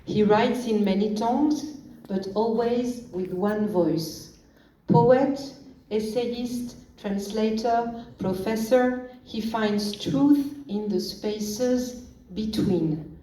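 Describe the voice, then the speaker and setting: A woman reads formal introductions at a literature event, slow and deliberate. Her voice is soft and mellow. It comes from far off in an echoey hall with faint noise behind. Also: medium pitch. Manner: measured and deliberate, pauses between phrases. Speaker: event emcee introducing authors. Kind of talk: formal stage introductions, read aloud.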